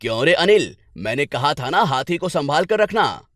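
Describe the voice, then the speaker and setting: A man's voice: on the high side, big pitch swings, normal speed, fairly bright tone, no room sound, studio quality. A man voicing a rich village master in a cartoon tale, kindly at heart but bossy, scolding and lecturing. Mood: stern.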